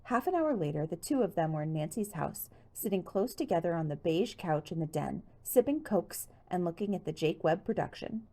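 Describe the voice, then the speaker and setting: A woman narrates a teen mystery audiobook in a fairly low, mellow voice. Her reading is lively, with plenty of rise and fall. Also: normal speed, few pauses. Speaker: audiobook reader. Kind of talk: scripted storybook narration, teen mystery novel.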